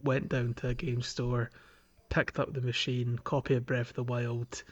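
Man, podcast host, casual chat, halting delivery, thinking aloud, medium-pitched voice. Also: little pitch movement, dull audio.

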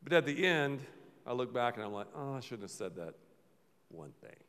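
A man preaching a sermon as pastor, playful and dramatic, often dropping to a low murmur. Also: very animated, moderate pace, a bit bright. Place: large church hall. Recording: reverberant church hall.

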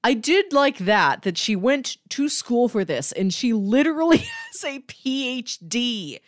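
A woman's voice: on the high side, wildly swooping pitch, measured pace, no echo, clean studio sound. A woman co-hosting a podcast, talking through a book in a casual, conversational way. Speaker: podcast co-host.

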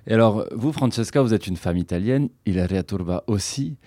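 A man interviewing a guest, asking long, measured questions. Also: fairly low pitch, animated, warm tone, no room sound, clean studio sound.

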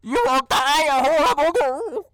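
A man's voice: extremely high pitch, lively intonation, measured pace, a bit bright, no echo, clean studio sound, voice pushed up, heavily acted. A man voicing a furious, vengeful talking trowel in a tabletop game, ranting theatrically. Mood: enraged.

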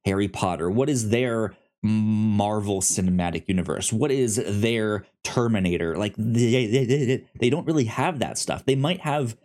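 A man co-hosting a casual movie review discussion, speaking in a halting way with many repeated words and restarts. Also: fairly low pitch, a little mellow. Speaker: podcast co-host reviewing movies.

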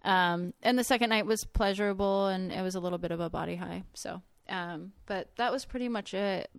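A woman with a light Californian accent talks in a chatty, storytelling way, as a guest in a relaxed conversation. Her voice sits at an ordinary middle pitch. The sound is close and dry, with almost no room. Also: fairly quick, clean tone, no echo. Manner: chatty storytelling, laughs often. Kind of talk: casual conversation.

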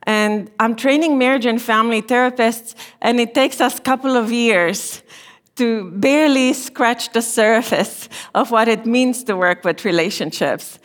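A middle-aged woman from Romania with a Romanian accent, giving a gentle, unhurried chapel talk as a therapy lecturer. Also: fairly high pitch. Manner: gentle, unhurried, slightly non-native phrasing. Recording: long reverb in a chapel hall.

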